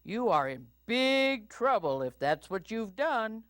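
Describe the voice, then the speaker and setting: A woman voicing a big, stern, scolding father bear with a booming voice in a children's story. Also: big pitch swings, slow pace, no room sound, studio quality.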